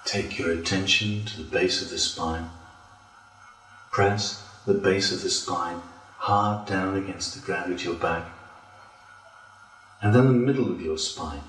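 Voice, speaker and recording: A man leading a guided relaxation as a yoga instructor, speaking deliberately with pauses between phrases. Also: low-pitched, fairly bright tone, slight background noise. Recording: roomy echo, distant from mic.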